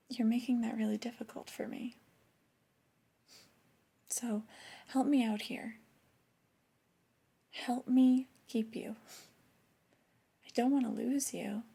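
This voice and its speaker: Woman as flirty, disappointed boss for ASMR roleplay. Breathy, whispery, creaky low register in delivery, intimate reprimands. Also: mellow, exaggerated voice. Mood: coaxing, reluctant. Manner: slow, deliberate, intimate reprimanding. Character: flirty, disappointed female store boss scolding an employee. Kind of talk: soft-spoken ASMR roleplay.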